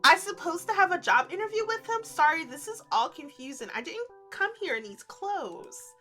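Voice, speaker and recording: Girl doing a visual novel lets play, super lively pitch, giggly asides, music playing behind her. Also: normal speed. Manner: expressive, giggly, gushing asides. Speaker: gaming video creator reading and reacting to a visual novel. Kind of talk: playful let's play read-aloud with casual reactions.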